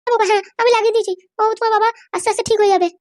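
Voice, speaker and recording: A man voicing a caring cartoon aunt who coaxes and reassures in a squeaky voice. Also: fairly even intonation, very fast, dry recording, clean studio sound. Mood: soothing. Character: caring, soothing cartoon aunt tending the wounded. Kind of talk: amateur comedy cartoon dubbing.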